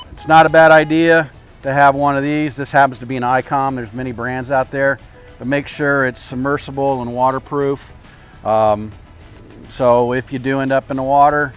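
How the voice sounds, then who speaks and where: A man's voice: mid-range voice, steady tone, moderate pace. Older man explaining casually over background music. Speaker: boat captain giving boating tips. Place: outdoors at a marina by a parking lot. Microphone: clip-on lapel mic.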